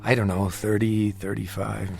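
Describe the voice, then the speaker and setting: An actor in a radio drama playing a haunted, sleepless patient who talks in a halting, edgy, confiding way, afraid of his own imagination. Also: on the low side, flat delivery, creaky, over music. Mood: weary, agitated. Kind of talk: audio drama acting.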